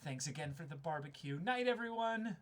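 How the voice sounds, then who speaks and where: A man voicing cheerful, friendly young party guests, chatting gratefully in a higher-pitched voice. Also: expressive, brisk, lightly acted.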